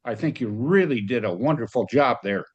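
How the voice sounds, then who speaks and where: Guy on a video call giving feedback, medium pitch, gushing and emphatic, casual. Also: expressive, moderate pace, husky, lo-fi sound. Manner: effusive, emphatic. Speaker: audience member giving feedback.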